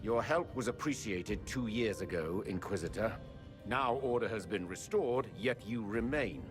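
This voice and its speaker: A man's voice: on the low side, moderate pace, music in the background, close to an everyday voice. An actor playing an indignant male diplomat who makes pointed accusations in a game cutscene. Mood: accusing. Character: indignant middle-aged male envoy, accusing.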